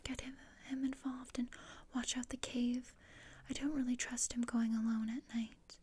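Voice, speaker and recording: A woman playing a morbid, bossy gothic teenage girl in a breathy, deadpan ASMR roleplay whisper, close to the microphone. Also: on the high side, normal speed. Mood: scheming, bossy. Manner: deadpan, dry, matter-of-fact. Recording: close-up with clear noise and clicks.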